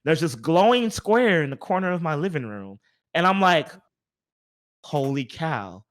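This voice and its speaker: Higher-voiced man hosting a gaming podcast. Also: sing-song, a little slow, a bit bright. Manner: rambling, repeats words while thinking aloud. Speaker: podcast host. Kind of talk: casual video game podcast chat.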